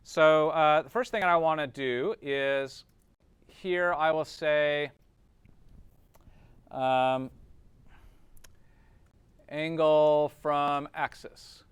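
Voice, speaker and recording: A man's voice: fairly high pitch, steady tone, drawn-out words, pauses often, clean tone, no room sound. A man teaching a class informally, explaining step by step and often checking understanding with short tag questions.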